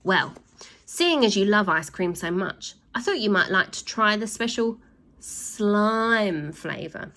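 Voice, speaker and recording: A woman's voice: mid-range voice, lively intonation, slow, pushed and bright, full character voice. A woman voicing a defiant, determined young boy hero. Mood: teasing.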